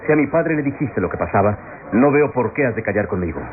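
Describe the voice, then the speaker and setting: A man's voice: lowish voice, lively intonation, fast, natural voice. An actor playing a rich man's son in an old radionovela, formal, probing and insistent. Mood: probing, concerned. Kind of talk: old-fashioned radionovela acting. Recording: lo-fi old radio recording, faint noise.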